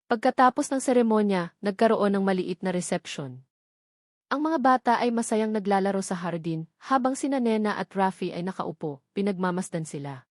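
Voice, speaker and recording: A woman's voice: mid-range voice, dry recording. Filipino woman narrating, very dry sound. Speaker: story narrator. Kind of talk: scripted romance story narration.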